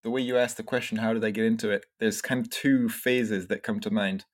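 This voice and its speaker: A man in his thirties, born in Scotland and raised in France, speaking in a somewhat low voice. He answers interview questions casually and reflectively, leaning on fillers like "you know" and "like" as he thinks aloud. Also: fairly even intonation, brisk. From Scotland, grew up in France. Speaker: podcast guest, entrepreneur.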